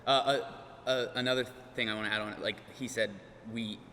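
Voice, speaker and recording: Chatty podcast guy on a panel, kinda bright edgy voice, big hall echo. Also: mid-range voice, fairly even intonation, moderate pace. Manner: chatty, self-deprecating, laughs often. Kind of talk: panel discussion, casual. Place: large conference hall. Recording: hall reverb, faint room noise.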